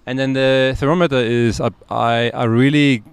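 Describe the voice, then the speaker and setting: A man from Austria in his forties, a podcast guest and entrepreneur, talking in a relaxed, hesitant way with many fillers. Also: mid-range voice, normal speed, smooth, no echo. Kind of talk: casual interview conversation.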